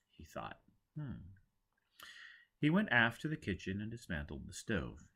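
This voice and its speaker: A man's voice: lowish voice, fairly bright tone. A man narrating a scripted story dramatically as an audiobook reader.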